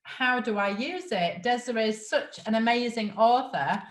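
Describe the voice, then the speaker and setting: A woman hosting a live online show, gushing warmly about a product and introducing her guest. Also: fairly high pitch, moderate pace, roomy sound. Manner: gushing, promotional. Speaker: host.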